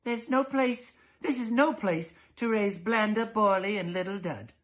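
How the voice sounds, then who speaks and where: A man voicing a dull, anxious, stern storybook mother who talks in a prim, drawn-out way. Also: high voice, fairly bright tone, pitched above the performer's own voice. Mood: fretful.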